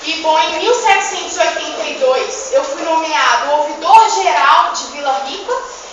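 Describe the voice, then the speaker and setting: A woman's voice: sits high, moderate pace, natural voice. A young woman student playing a vain, joking dead poet from the eighteenth century in a school presentation, talking in a theatrical, chiding way to the class. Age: young adult. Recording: echoey room from far off, dull, noisy.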